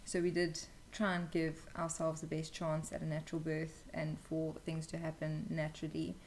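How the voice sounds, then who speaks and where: A woman's voice: deep voice, monotone, normal speed, a little mellow, slight background noise. A young woman telling a personal story as an interview guest, casually and candidly, with some hesitation. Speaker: interview guest, new mother.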